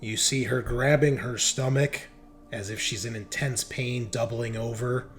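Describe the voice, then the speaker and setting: A man's voice: mid-range voice, fairly even intonation, measured pace, a bit bright, soft background music. A man running a tabletop roleplaying game, improvising descriptive narration and calling out rules. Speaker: game master.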